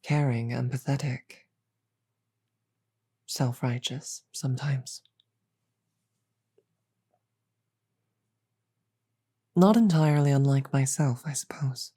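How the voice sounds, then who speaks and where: A woman playing a regal, measured demon queen in an audio roleplay, grave and wryly amused, pausing deliberately and often slipping toward a whisper. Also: very deep voice, warm tone, breathy edge, full character voice. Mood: reflective, fond. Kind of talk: scripted audio roleplay, slow dramatic delivery.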